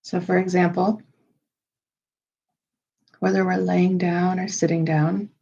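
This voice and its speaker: A woman leading a guided meditation in a slow, soothing style, with measured, unhurried phrases and pauses. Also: lowish voice, level tone, gravelly, mellow, husky, highs cut off.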